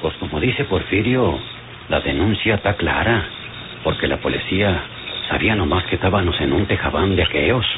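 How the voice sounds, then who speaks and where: Plain-spoken Mexican male sidekick for a radio western; deep voice, noisy band-limited recording. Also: animated, moderate pace. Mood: matter-of-fact. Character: plain-spoken male companion of a fugitive outlaw. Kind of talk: old Mexican radio serial acting. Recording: noisy, tinny old radio serial recording.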